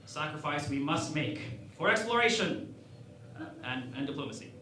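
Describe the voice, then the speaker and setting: An actor playing a vain, cocky, clueless starship captain in a sci-fi parody radio play, with a grandiose, self-assured delivery. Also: male voice, fairly high pitch, sing-song, moderate pace, pushed and bright. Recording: echoey room, distant mic, faint noise.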